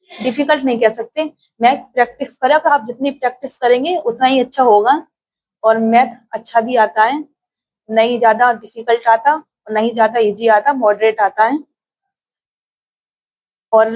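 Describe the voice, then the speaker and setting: A young woman from India speaks to her viewers in a relaxed, unscripted vlog. Her voice sits a little high, and she talks in a plain, steady way with frequent small hesitations. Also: low-quality recording. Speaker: exam-prep YouTuber. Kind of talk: casual spoken vlog.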